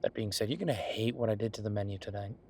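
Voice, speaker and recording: A man playing an affectionate, teasing chef boyfriend in an intimate roleplay audio, talking in a conversational, reassuring way with a husky voice. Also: fairly low pitch, fast, a little mellow, a little hiss or hum, natural voice. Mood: playful, sheepish. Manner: conversational, reassuring, playfully bantering. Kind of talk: intimate one-sided boyfriend roleplay acting.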